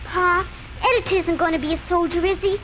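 A little farm girl, speaks in a very high, innocent voice, pressing her questions earnestly. It is 1940s radio drama with faint noise on the old recording. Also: steady tone, brisk, sharp tone, low-quality recording. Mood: curious, worried.

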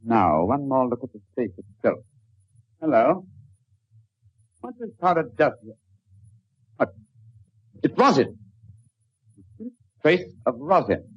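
An actor playing a brilliant, self-assured detective with a British accent, clipped, precise and courteous in a theatrical radio-drama style. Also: male voice, mid-range voice, very animated, slow pace, pauses often, breathy edge, dry recording, full character voice. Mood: confident, deducing. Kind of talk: 1940s radio drama acting. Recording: dull, dry old radio recording.

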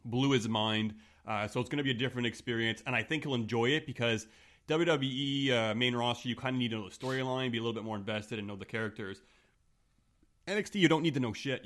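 A man from Canada with a deep voice, in his thirties, co-hosting a podcast in relaxed, casual chat. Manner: relaxed and chatty, trailing off quietly at phrase ends. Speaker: podcast co-host.

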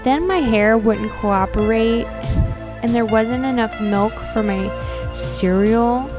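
A woman's voice: on the high side, slow. Chatty woman podcaster over music. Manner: chatty, uses like and you know often. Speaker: podcast co-host. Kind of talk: casual chat with dramatic readings of old notes.

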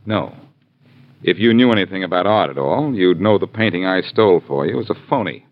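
An actor playing a cool, quick-witted adventurer hero with a wry, clipped manner in a 1940s radio crime drama. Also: male voice, sits low, lively intonation, no echo. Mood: cool, needling. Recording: dull 1940s radio recording.

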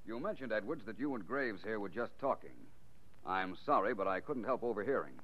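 Suave radio detective, muffled old recording. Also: male voice, fairly low pitch, expressive, brisk. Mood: smoothly needling. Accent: American. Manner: polished, formal, wry. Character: suave, self-assured male private detective, wryly needling. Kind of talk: 1940s radio detective drama acting.

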